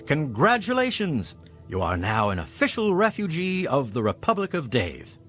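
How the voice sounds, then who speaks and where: Grandiose, self-important little-nation leader for a game; hugely animated pitch, music behind. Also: male voice, normal speed. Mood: pompous. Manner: pompous, grandiose. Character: pompous self-important male president of a tiny republic. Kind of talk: video game character dialogue.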